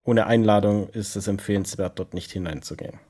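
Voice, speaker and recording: A man playing a resolute vampire in a role-play audio drama, speaking in a measured, matter-of-fact way. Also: fairly low pitch, fairly even intonation, warm tone, close to an everyday voice. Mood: firm. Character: Nick, resolute male vampire with a dog.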